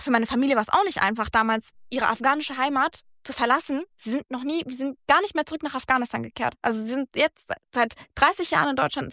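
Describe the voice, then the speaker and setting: A woman's voice: high-pitched, pushed and bright, no echo, clean studio sound. A woman born and raised in Germany, speaking earnestly and reflectively in a casual conversation. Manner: earnest, reflective, speaks in long thoughtful runs. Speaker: guest.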